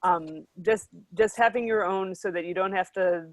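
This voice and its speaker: A woman giving measured, hesitant advice on an online convention panel, with frequent fillers. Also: medium pitch, dry recording.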